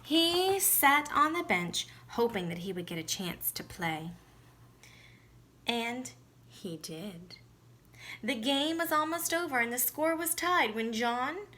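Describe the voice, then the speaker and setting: A woman teacher reading a storybook aloud expressively, with deliberate emphasis and asides to children. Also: sits high, big pitch swings, bright, forceful tone.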